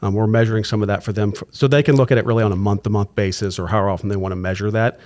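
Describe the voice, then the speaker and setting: Male guest, rapid explainer, lowish register, talks in looping restarts. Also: fairly even intonation, darkish tone. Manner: fast, with restarts and tag questions. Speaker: client services executive, guest. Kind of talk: explanatory business talk.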